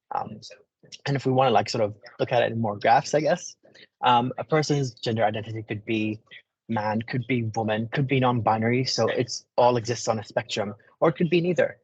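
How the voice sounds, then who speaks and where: Low gravelly voice, husky, from the middle east, lots of ums, muffled video call. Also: male voice, dry recording. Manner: hesitant, many ums and restarts. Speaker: panel speaker. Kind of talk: explanatory talk.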